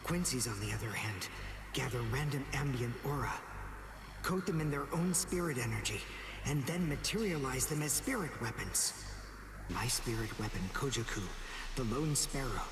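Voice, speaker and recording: A man's voice: medium pitch, lively intonation, normal speed, noisy background, close to an everyday voice. A young man playing a brainy, intense teenage spirit archer in an anime game dub, analytical and dramatic. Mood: alarmed, reasoning. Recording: echoey, with game music behind. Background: game music.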